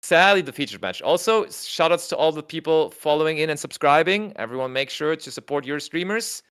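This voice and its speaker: A man's voice: on the high side, lively intonation, brisk, fairly bright tone, clear, resonant tone. A man with a German accent doing casual livestream commentary, chatting with his viewers, reading their messages aloud and laughing often. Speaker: tournament co-commentator.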